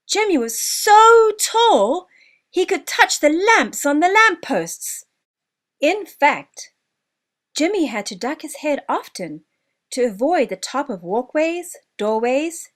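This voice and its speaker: Female children's story narrator: high voice, very lively intonation, expressive and deliberate scripted read. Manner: expressive, deliberate storybook delivery with drawn-out words. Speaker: author narrating own story.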